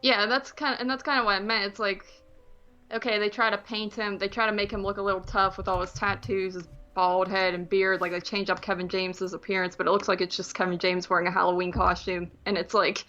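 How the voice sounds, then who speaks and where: A woman's voice: mid-range voice, flat delivery, brisk, pushed and bright, muffled recording, faint music behind. A woman chatting casually as a podcast co-host, rambling informally about films. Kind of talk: casual chat reviewing films.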